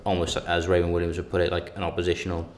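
A man's voice: deep voice, monotone, rapid-fire, slight background noise. A man in casual academic discussion, talking with many restarts and emphatic bursts.

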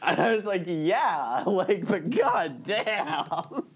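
A man's voice: high-pitched, big pitch swings, drawn-out words, breathy edge, highs cut off. A man chatting casually as a podcast co-host, telling personal anecdotes in a wry, self-deprecating way.

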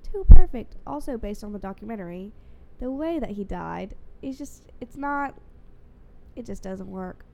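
A young woman with a stuffy, congested voice, chatting casually and getting animated when she makes a point. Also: moderate pace, creaky, slight background noise. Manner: animated, rises sharply in pitch when emphatic. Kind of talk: casual chat.

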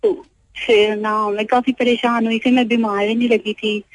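Hesitant young woman caller, flat voice. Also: fairly high pitch, no room sound, poor audio. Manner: hesitant, many fillers, halting. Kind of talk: telling a personal story on air.

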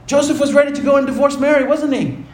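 A man preaching a sermon to his congregation, throwing rhetorical questions at them and shifting between quick asides and slow, low, weighty phrases. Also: steady tone, echoey room. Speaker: pastor.

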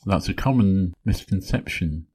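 Man, low voice, husky tone, steady explanatory podcast talk. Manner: measured, explanatory, works through points one by one. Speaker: podcast host. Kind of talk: solo educational podcast talk.

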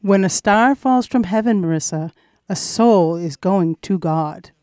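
A woman playing the gentle, reassuring spirit of a grandmother in a radio play, solemn and soothing. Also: mid-range voice, a little slow, dry recording, clean studio sound, natural voice.

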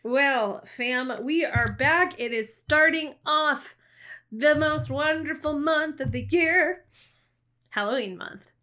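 Giggly, animated woman co-hosting a podcast. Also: sits high, takes it slowly. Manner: animated, joking, laughs often. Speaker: podcast co-host. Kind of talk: casual chat.

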